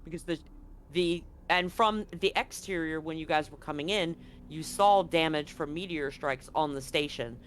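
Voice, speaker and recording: A game master talks players through a tabletop roleplaying scene. The voice sits at a middle pitch and explains details conversationally. There is faint noise behind the voice. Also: normal speed, fairly bright tone.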